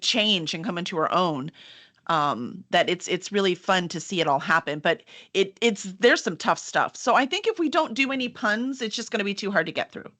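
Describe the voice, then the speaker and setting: A woman's voice: medium pitch, animated, normal speed, bright, forceful tone. A woman hosting a live online author interview as a publisher, effusive and encouraging as she chats.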